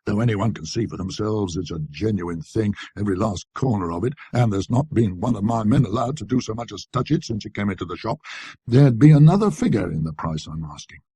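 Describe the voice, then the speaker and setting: A shrewd old-fashioned shop dealer acted by a male narrator talks in folksy, deferential patter. His slightly husky voice swings up and down as he sells, like a character in an audiobook tale. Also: on the low side, expressive, fairly quick, warm tone, dry recording. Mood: persuasive, earnest. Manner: folksy ungrammatical salesman's patter.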